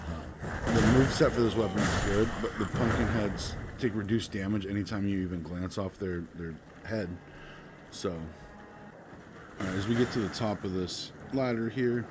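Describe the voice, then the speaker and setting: A man who is sick, giving casual, rambling commentary over his gameplay as a channel host. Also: lowish voice. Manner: laid-back, rambling, many ums. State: sick, voice a bit off. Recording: loud background noise.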